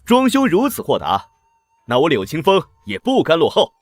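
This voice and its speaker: An actor playing an excitable, self-righteous young scholar in a historical comic drama. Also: male voice, on the high side, normal speed, dry recording, soft background music. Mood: defiant.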